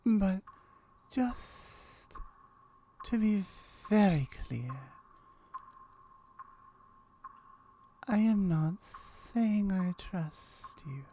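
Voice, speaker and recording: A woman voicing a lonely, wary gorgon with slyly flirtatious murmurs and drawn-out syllables, in an ASMR roleplay. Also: medium pitch, animated, mellow, slight background noise. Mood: teasing, intrigued.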